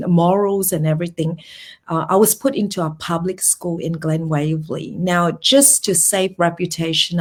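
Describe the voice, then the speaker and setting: A middle-aged woman with a Malaysian accent, chatting as a guest in a live interview over a video call, rambling with restarts and laughing easily. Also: on the low side.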